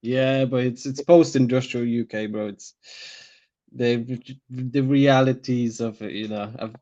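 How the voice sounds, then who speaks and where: A man's voice: mid-range voice, fairly even intonation, a little slow, warm tone. A man of Slavic origin raised in Northeast England, a podcast guest in laid-back casual chat who laughs easily. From Slavic country, raised in Northeast England. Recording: dull lo-fi remote audio.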